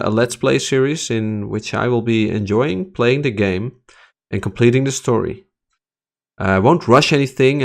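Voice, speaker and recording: A man in his thirties from the Netherlands speaks English with a Dutch accent. His voice sits fairly low. He chats through a gameplay video in a relaxed way, filling the gaps with "uh" and "um". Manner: relaxed, chatty, with frequent uh and um. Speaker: let's play host. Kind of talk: casual gameplay commentary.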